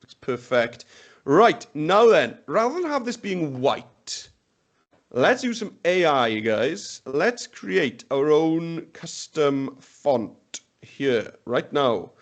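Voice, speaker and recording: Upbeat man demoing software. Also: a little high, sing-song, slow pace. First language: Welsh. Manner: upbeat, chatty, thinks aloud. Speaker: webinar presenter. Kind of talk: live software demonstration. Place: indoor room, on a video call.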